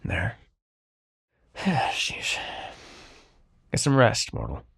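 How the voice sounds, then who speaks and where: Immortal male dream spirit for ASMR roleplay, played by a man: gruffly caring, expressive pitch, fry-edged, mid-range voice. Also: heavily acted. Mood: brusque, caring. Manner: brusque, exasperated, halting, softening into concern. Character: grumpy, reluctantly kind immortal male dream-bringer.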